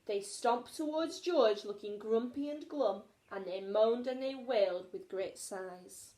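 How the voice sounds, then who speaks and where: A woman's voice: fairly high pitch, unhurried, dark tone. A woman teacher reading a children's story aloud expressively, recorded in an echoey room.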